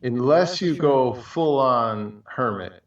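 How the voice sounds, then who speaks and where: Podcast co-host, unhurried and halting, casual chat, picked up from a distance in a roomy space. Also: medium pitch, lively intonation. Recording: dull, roomy, distant mic pickup.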